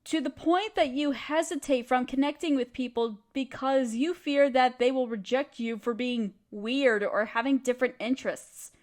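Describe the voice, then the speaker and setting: A woman's voice: sits high, normal speed, hardly any pauses. A woman delivering a comforting scripted monologue straight to the listener, reassuring and encouraging like a supportive friend. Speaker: audio creator speaking as a supportive friend.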